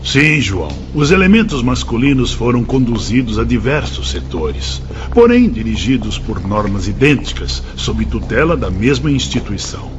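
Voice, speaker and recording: An actor plays a paternal, moralising spirit master in a Brazilian-accented radio drama. His mid-pitched voice is highly inflected, with a slightly dull sound over music. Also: very animated, moderate pace, lightly acted. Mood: grave, instructive. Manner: formal, moralising, emphatic. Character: grave, paternal male spirit instructor.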